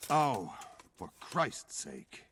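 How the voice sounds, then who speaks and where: An actor playing an exasperated but obedient castle retainer in a video game. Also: male voice, mid-range voice, very animated, very slow, fairly bright tone, slight background noise, heavily acted. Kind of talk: dramatic video game acting.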